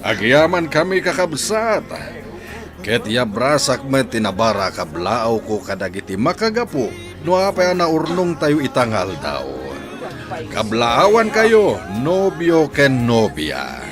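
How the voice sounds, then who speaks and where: A man's voice: medium pitch, big pitch swings, measured pace, music in the background. A man playing a genial father in an Ilocano radio drama. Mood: celebratory. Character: genial father giving wedding greetings.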